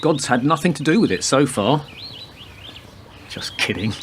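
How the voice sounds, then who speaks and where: Man playing an animated, down-to-earth detective sergeant. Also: mid-range voice, measured pace, close to an everyday voice. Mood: teasing. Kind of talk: radio play acting. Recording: noisy amateur recording with background ambience.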